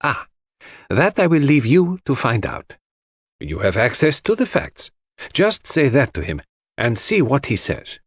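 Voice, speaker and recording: A man's voice: mid-range voice, wildly swooping pitch, unhurried, slightly rough, no room sound, clean studio sound, pitched above the performer's own voice, full character voice. A man voicing a shrewd, secretive and irritable detective in an audiobook, speaking in a precise, lecturing way. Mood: secretive, deliberate. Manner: precise, emphatic, didactic.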